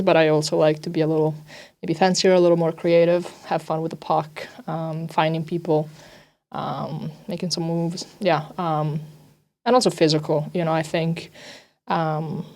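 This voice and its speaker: A young woman who grew up in Italy and speaks Italian natively gives relaxed, informal interview answers. Her voice sits a little low for a woman, and she peppers her talk with "like" and "you know" as she describes life as an athlete. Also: moderate pace. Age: young adult. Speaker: ice hockey defender.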